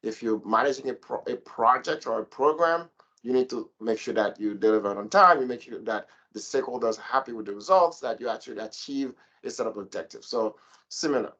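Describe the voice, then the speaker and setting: A man lays out his points in a methodical order, like a seasoned manager briefing a team. He speaks at a middle pitch over a video call. The audio comes through slightly dull and muffled. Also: brisk, a bit bright. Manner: structured, methodical explaining. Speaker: program manager panelist. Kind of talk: explanatory panel answer.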